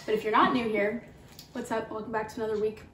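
A woman of 22 hosting a casual Q&A on her vlog, sitting on a living room couch and teasing her mother with quick asides. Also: fairly high pitch, level tone, fairly bright tone, a little hiss or hum. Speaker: YouTube vlogger, host. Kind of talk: casual Q&A chat. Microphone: camera mic, from a distance. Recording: roomy, a bit distant.

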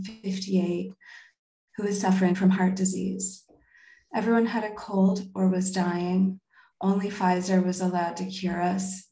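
Woman in her thirties, slightly low voice, poet at an online reading, roomy echoey video-call sound. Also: dark tone. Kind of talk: poetry reading with informal remarks. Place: indoor room at home, on a video call. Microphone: computer mic on a video call.

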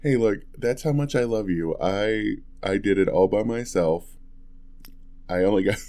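Man acting a deep-voiced, affectionate young husband. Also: a little slow. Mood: sheepish, affectionate. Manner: soft, hesitant, chuckling, tender. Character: affectionate, playful young husband on Christmas morning. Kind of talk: ASMR boyfriend roleplay, intimate casual chat.

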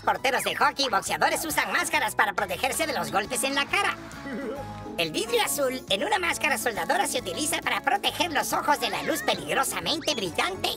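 A woman acting as a cheerful explainer, narrating an educational children's cartoon from a script. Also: sits high. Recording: music behind the voice. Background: music.

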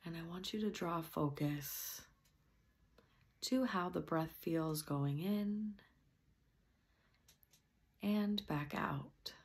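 Woman, low voice, slow pace, soft gentle meditation guide, breathy near-whisper at times. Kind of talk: softly spoken guided meditation.

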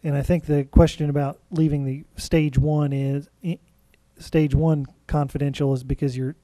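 Higher-voiced man with a very mellow tone. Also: level tone, no room sound. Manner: hedging, halting explanations. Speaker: legal counsel to a city council. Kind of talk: advising in a public meeting.

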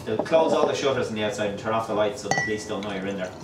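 A middle-aged man hosting a pub crawl, telling jokey stories to a crowd and mimicking people in quick asides. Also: lowish voice, heavy background noise. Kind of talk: humorous storytelling to a pub crowd. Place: small crowded pub, indoors. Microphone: far camera, no mic. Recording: roomy pub echo, distant, chatter behind.

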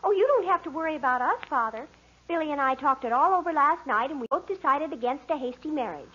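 Very high-voiced melodramatic teenage girl. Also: lively intonation, fast talker, pushed and bright. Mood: dreamy, gushing. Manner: earnest, gushing, easily offended. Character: dreamy, melodramatic seventeen-year-old daughter in love. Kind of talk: 1940s radio comedy acting. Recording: muffled, noisy vintage radio recording.

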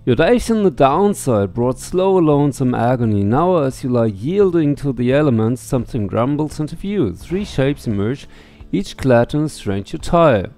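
A man's voice: mid-range voice, very animated, normal speed, few pauses, dark tone. A middle-aged man doing casual let's play commentary and reading on-screen game text aloud. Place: small room at home, indoors. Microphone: headset microphone. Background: faint game music.

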